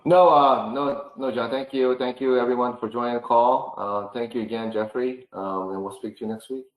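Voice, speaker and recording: A man organizing a program, wrapping up a video call in a halting way with many fillers. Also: medium pitch, steady tone, echoey room, low-quality recording.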